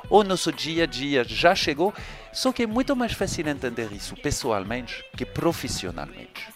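A man from France with a French accent, a guest explaining his views in an interview in an unhurried way. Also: mid-range voice, a bit bright. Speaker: guest, event curator. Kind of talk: podcast interview.

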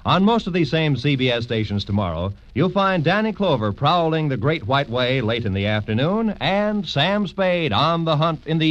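1940s network announcer, American man, mid-pitched, very animated, polished delivery, dulled vintage sound. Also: background noise. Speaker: radio announcer. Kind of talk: 1940s scripted radio announcing. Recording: muffled vintage radio recording.

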